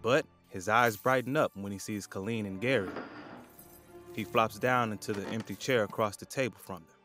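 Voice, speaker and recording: A man's voice: on the low side, normal speed, fairly bright tone. A man narrating a scripted audio drama as its storyteller. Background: faint music.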